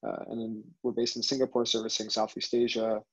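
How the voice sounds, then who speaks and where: Young american man, monotone, slightly husky, lo-fi headset call. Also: mid-range voice, brisk. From United States. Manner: fluent, flat, businesslike. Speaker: venture capital director. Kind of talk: panel self-introduction. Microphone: headset on video call. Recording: husky, dull video call audio.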